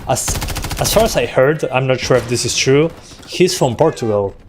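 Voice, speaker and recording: A young man talks in a relaxed, chatty way as he streams a shooter from his home setup. His voice sits in an ordinary middle range. Faint gunfire from the game runs underneath. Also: medium pitch, normal speed, noisy background. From Uruguay. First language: Spanish. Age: 20s. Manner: relaxed, chatty, answers chat between fights. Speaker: tech streamer. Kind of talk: casual live-stream commentary while gaming. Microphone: desktop USB microphone.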